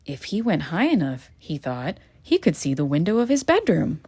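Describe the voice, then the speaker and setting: A woman reading a children's picture book aloud in a gentle, expressive storytelling manner. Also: low voice, normal speed, breathy edge. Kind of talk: children's read-aloud narration.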